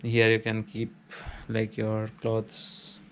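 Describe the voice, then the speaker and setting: A man's voice: fairly low pitch, monotone, slow pace, vocal fry, slight background noise. A man casually narrating a walkthrough of a small student apartment, listing its features in a plain, matter-of-fact way. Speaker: vlogger giving a house tour.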